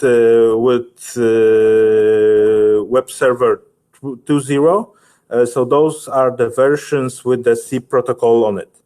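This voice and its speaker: A man presenting a technical webinar and answering questions in halting, hesitant English, often searching for words. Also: mid-range voice, mellow.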